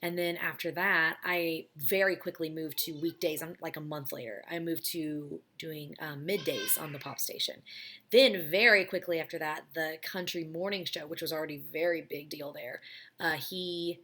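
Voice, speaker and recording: A woman in her thirties with a raspy, low voice, chatting casually at home about her life and answering viewer questions with many ums. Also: fairly even intonation, normal speed, bright, forceful tone, slight background noise. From United States. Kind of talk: casual chat. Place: home, child heard playing in background.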